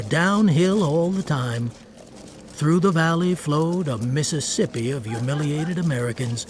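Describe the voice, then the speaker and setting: A man narrating a radio drama in a measured, literary storytelling manner. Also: a little high. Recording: noisy ambience under narration.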